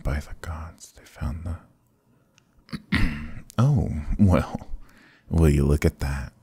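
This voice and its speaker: Male actor as sly fantasy rogue for ASMR roleplay: extremely low, gravelly voice, glib courtly flattery, evasive pauses. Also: exaggerated voice. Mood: flustered, bluffing. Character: sly, charming adventurer thief, smooth-talking and flattering. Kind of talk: fantasy ASMR roleplay acting, close and soft.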